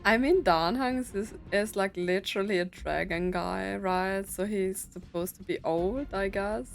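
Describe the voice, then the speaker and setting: A woman's voice: mid-range voice, unhurried, vocal fry. Young woman casually commenting on a game. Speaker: gamer. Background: game music.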